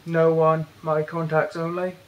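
Flat-voiced British man, hesitant tech walkthrough. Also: a little high, unhurried, lo-fi sound. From Britain. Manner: hesitant, many fillers and restarts. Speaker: tech reviewer. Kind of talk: casual hands-on product walkthrough. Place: indoors, bedroom.

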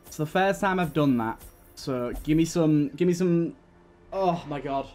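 A man with a slightly high voice talks casually through a video game as a let's play host. He thinks out loud and repeats his phrases. Game music plays behind him. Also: measured pace. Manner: thinks aloud, repeats himself, swears.

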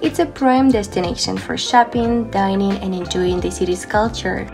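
A woman's voice: medium pitch, normal speed, creaky. A young woman reading a scripted voice-over as the narrator of a travel guide. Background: background music.

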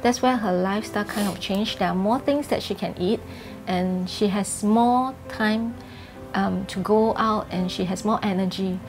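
A woman's voice: mid-range voice, normal speed, soft background music. A woman, speaking candidly as a caregiver in an interview at home. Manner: candid, plain-spoken, with hesitations. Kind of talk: interview testimony. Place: home living room.

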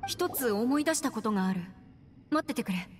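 A woman's voice: fairly high pitch, expressive, a little fast, lightly acted. A woman playing an earnest, clever young female adviser in a video game, articulate and resolute as she explains. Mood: thoughtful, explaining. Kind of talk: Japanese video game voice acting. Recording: long reverb, music behind.

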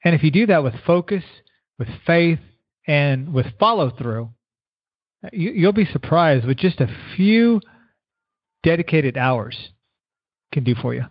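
A man's voice: fairly high pitch, lively intonation, husky, dry recording. Earnest middle-aged man, lo-fi audio. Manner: earnest, repeats words, slows to stress points. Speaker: keynote speaker guest. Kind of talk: motivational interview answers. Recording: dull lo-fi radio sound.

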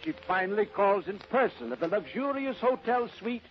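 A muffled old radio recording of a male narrator. His voice is high for a man, and he delivers scripted drama narration in 1930s style. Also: big pitch swings, moderate pace, faint music behind.

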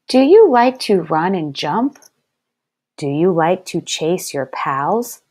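Slow-paced woman teaching young children. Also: medium pitch, darkish tone. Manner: deliberate, clearly enunciated, instructive. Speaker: first-grade teacher. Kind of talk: read-aloud lesson for young children.

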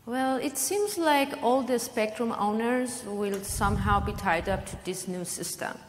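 A woman's voice: a little high, unhurried, slight background noise. Young woman interviewer reading questions. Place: large conference hall.

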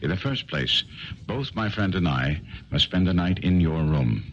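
A shrewd detective in an old radio play, very low in pitch with wide, animated swings. He gives precise, grave instructions with full authority. The recording is muffled. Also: normal speed. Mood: commanding, instructing. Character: commanding, shrewd male detective, grave and self-assured. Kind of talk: 1970s radio drama acting.

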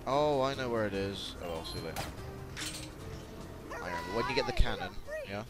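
A man's voice: fairly low pitch, wildly swooping pitch, fairly quick. A tired young man giving casual, rambling, informal commentary on a video game. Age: young adult. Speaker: gameplay commentator. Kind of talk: casual let's play commentary. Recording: game music and effects loud behind. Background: video game music and sound effects.

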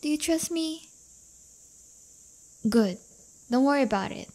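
Casting: woman as brash but loyal delinquent high school girlfriend, fairly high voice, slangy and teasing, for intimate audio roleplay. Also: expressive, unhurried, darkish tone. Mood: playful, teasing. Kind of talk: audio roleplay acting, intimate one-sided dialogue.

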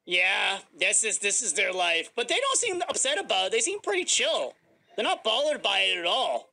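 A woman's voice: medium pitch, sing-song, moderate pace, vocal fry, bright, forceful tone, full character voice. A woman voicing a jumpy, easily panicked animatronic fox in a game roleplay, frantic and repeating phrases. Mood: chatty. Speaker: player in a Minecraft roleplay video. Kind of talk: improvised roleplay acting.

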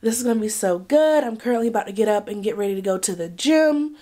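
A woman's voice: medium pitch, expressive, fast talker, warm tone, no room sound, studio quality. A young woman vlogging casually to a handheld camera from her car, bubbly and full of "like" fillers. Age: young adult. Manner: chatty, bubbly, many "like" fillers. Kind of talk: casual vlog chat to camera. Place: inside a parked car. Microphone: camera held at arm's length.

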